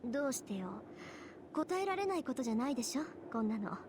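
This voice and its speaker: A woman's voice: sits high, sing-song, racing, noisy background. An actress playing a proud, insistent teenage girl in a Japanese visual novel, with a pressing, indignant manner. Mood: insistent, nervous.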